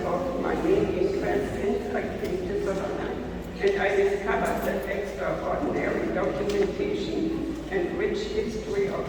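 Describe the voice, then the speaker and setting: A woman's voice: mid-range voice, creaky, noisy background. Older German-accented woman lecturing in a hall. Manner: measured, reads then restates points. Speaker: guest lecturer. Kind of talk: prepared lecture. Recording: distant mic in echoey hall, dull.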